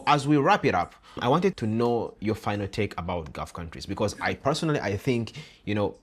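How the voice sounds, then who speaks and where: Brief: young man, fairly low voice, earnest host who repeats himself for emphasis. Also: very animated, brisk, husky. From Uganda. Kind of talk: casual interview chat.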